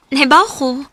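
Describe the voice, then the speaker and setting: A woman's voice: sits high, sharp tone, dry recording, heavily acted. An actress with a Cebuano Filipino accent playing a kind, coaxing young female student in a radio drama. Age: young adult.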